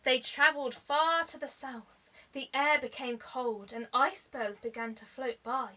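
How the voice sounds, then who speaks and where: A woman reading a children's story aloud like a teacher, expressively, with deliberate dramatic emphasis. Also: high-pitched, steady tone, pushed and bright, poor audio.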